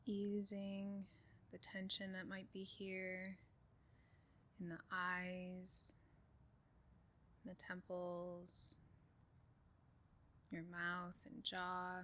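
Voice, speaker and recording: A woman leading a guided meditation in a calm, soothing way, giving soft instructions with unhurried pauses. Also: on the low side, slight background noise. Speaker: meditation group leader.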